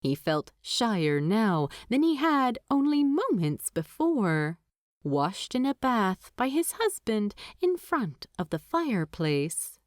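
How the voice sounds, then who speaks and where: A woman reads a story aloud at a slow, deliberate pace. Her medium-pitched voice moves expressively up and down, recorded dry like an audiobook. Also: no echo. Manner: unhurried, expressive, deliberate phrasing. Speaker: narrator. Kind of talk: scripted fiction narration, audiobook storytelling.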